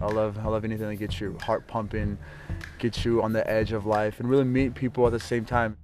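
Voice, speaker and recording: A man from Ukraine, interviewed as a model, speaking earnestly in repeated short declarations. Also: lowish voice. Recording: music playing under the voice.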